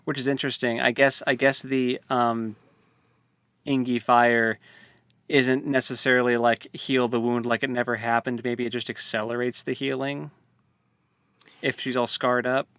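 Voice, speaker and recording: A man in casual conversation, talking in a flat, even tone with little rise and fall. He stumbles and restarts often as he gathers his thoughts. His voice sounds close and dry, with no room around it. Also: medium pitch, moderate pace, clean tone, no echo. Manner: hesitant, many fillers and restarts. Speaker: podcast co-host. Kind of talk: casual book discussion.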